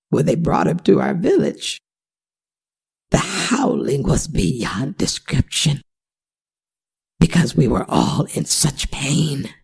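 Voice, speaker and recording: A woman voices a motherly older she-werewolf in an ASMR audio drama. Her voice is heavily gravelly and breathy, with dramatic swoops in pitch. She is gentle and worried, addressing the listener tenderly. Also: full character voice. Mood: sorrowful. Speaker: voice actor.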